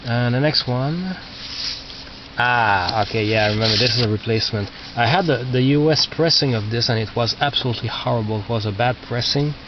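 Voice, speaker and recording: A man who is not a native English speaker, casually talking through his collection as a record collector, halting and muttering as he reads out labels, on a cheap video camera microphone. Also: mid-range voice. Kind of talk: casual narrated show-and-tell of collection. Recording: muffled cheap video camera, loud noise.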